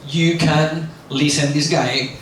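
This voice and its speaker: A man's voice: on the high side, steady tone, takes it slowly, a bit bright. Blunt man rambling in an echoey hall. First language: not English. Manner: loose, rambling, with many fillers and self-corrections; blunt and self-mocking. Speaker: guest speaker at a university lecture. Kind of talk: casual lecture talk with Q&A. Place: large echoey lecture hall. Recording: very echoey hall, distant mic, faint hiss.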